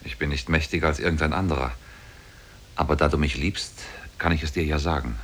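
A man's voice: very deep voice, steady tone, a little fast, a little hiss or hum. An actor playing a mighty, solemn demon sorcerer in love with his captive, in a 1950s German radio drama. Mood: boastful, confiding. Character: mighty demon sorcerer, lovestruck captor of a woman. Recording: old 1950s radio play on cassette.

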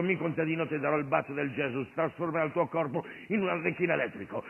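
A man's voice: sits high, gravelly, slight background noise, pitched above the performer's own voice. A man playing an irreverent, streetwise Jesus, commanding and jocular in a colloquial comic stage monologue. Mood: excited, bossy. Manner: commanding, colloquial, mock-preaching. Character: irreverent streetwise Jesus, bossy, jocular master miracle-worker. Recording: phone-like radio broadcast of stage show.

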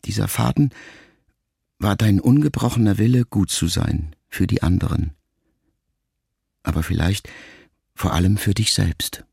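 An actor playing a pensive, melancholy grown son in a radio drama monologue, speaking to his mother in a gravelly, measured, intimate way. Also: low voice, darkish tone, no room sound, studio quality, heavily acted. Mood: reflective, melancholy. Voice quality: gravelly, creaky.

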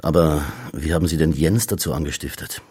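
A man with a husky voice, acting a world-weary, sardonic police inspector in a radio drama. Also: a bass voice, steady tone, a little fast, darkish tone, full character voice. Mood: probing, accusing. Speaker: actor in a radio crime drama.

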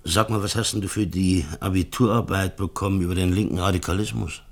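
An actor playing a doubtful, impatient and sardonic film director in a radio play. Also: male voice, low-pitched, steady tone, fairly quick, no room sound. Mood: probing, weary. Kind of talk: German radio drama acting. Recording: clear vehicle noise behind the voice.

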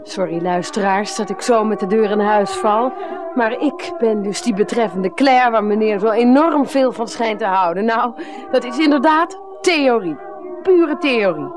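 An actress playing a sarcastic, aggrieved wife with a Netherlands Dutch accent, cutting in manner, in a radio comedy drama. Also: female voice, on the high side, expressive, measured pace, over music. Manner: sardonic, cutting.

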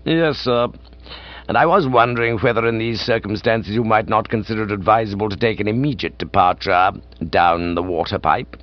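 A man voicing a deferential, unflappable English manservant in a comic audiobook, with formal, measured, understated speech. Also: lowish voice, lo-fi sound, lightly acted. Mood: grave, tactful.

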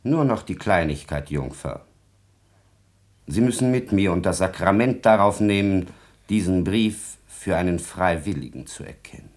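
An actor playing a cold, calculating secretary, measured and insinuating, in a classical radio drama. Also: male voice, deep voice, expressive, darkish tone. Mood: oily, insinuating. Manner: measured, insinuating, falsely kind. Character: cold, scheming male secretary, oily and calculating.

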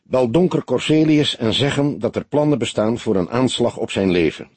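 A man reading the part of a grizzled older police detective in an audiobook, gruff and terse, with curt probing questions. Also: medium pitch, lively intonation, normal speed, a little mellow, dry recording.

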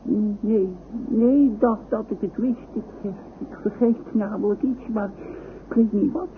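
Dithering, high-voiced old father. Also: fairly even intonation. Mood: confused, fretful. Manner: hesitant, halting, muddled, repeats himself. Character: forgetful, dithering old father along on holiday. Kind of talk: Dutch radio play acting. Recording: noisy, tinny old radio drama recording.

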